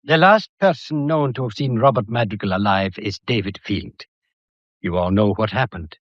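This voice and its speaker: An actor playing a methodical, courteous but probing police inspector in a detective drama, with a deliberate, pedantic and wryly theatrical way of talking. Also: male voice, lowish voice, dry recording, dull audio, close to an everyday voice. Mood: probing, satisfied. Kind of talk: detective radio drama acting.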